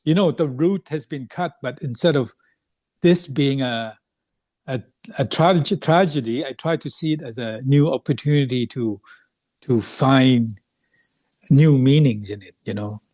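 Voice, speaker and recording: A man from Burma who grew up in Thailand, with Thai as his first language, speaking in a panel talk. He pauses often, restarting with many fillers as he searches for words. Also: medium pitch, darkish tone, no room sound. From Burma, raised in Thailand. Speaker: artist.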